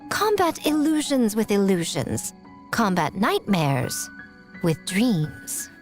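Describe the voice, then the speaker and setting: Video game fox spirit, female, sly, articulate, animated intonation, teasing poise, background music. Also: medium pitch, slow pace. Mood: confident, persuasive. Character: sly, self-assured fox-spirit shrine maiden woman. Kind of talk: scripted video game dialogue. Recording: music under the voice.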